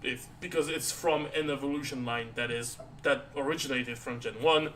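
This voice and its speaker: A tired man chatting casually on a live stream, rambling and halting with many restarts and fillers. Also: medium pitch, a little hiss or hum. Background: soft background music.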